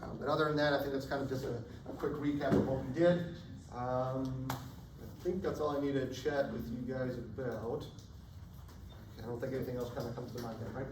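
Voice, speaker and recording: A man teaching a college chemistry class, casual and chatty, often checking in with "right?", his voice going hoarse. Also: medium pitch, level tone, a little fast. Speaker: chemistry instructor. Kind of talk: classroom lecture. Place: lecture hall. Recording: echoey lecture hall, distant mic, faint room noise.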